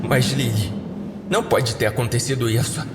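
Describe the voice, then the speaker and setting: A man's voice: mid-range voice, fairly even intonation, moderate pace, roomy sound, in a large hall, very noisy, natural voice. A man voicing a distressed male protagonist, pleading insistently in a horror audio story. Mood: anguished disbelief.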